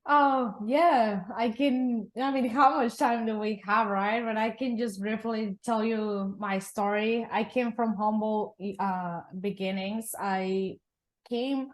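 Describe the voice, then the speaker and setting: A woman's voice: fairly high pitch, fairly even intonation, slow, rough recording. Woman from Mexico. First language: Spanish. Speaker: guest, real estate investor. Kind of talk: interview storytelling.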